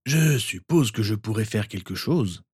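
A man reading aloud and voicing a kindly, mischievous old headmaster wizard, gentle and husky. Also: fairly low pitch, lively intonation, a little slow, no echo, studio quality, exaggerated voice.

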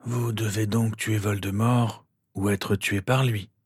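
A man voicing a venerable old wizard headmaster in an audiobook, calm, courteous and pensive in a measured way. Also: medium pitch, breathy edge, no room sound, clean studio sound, pitched above the performer's own voice. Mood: grave.

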